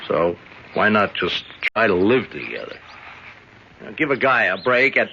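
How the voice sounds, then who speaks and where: A fair-minded old prison guard with a gravelly, bright voice, easygoing and full of advice. The sound is dull, like an old broadcast with faint hiss. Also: male voice, on the low side, big pitch swings, normal speed, exaggerated voice. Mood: folksy. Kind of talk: 1970s radio drama acting.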